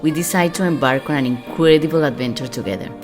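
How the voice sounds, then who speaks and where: A woman from Colombia co-hosting a sailing channel, reading scripted narration in a bright, welcoming way. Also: low voice, fast, music in the background.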